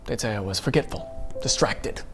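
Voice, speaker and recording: A man's voice: on the low side, moderate pace, faint music behind, close to an everyday voice. A man playing an insecure, naive friend who wants to sound smart, in a comedy sketch. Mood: wounded, hopeful.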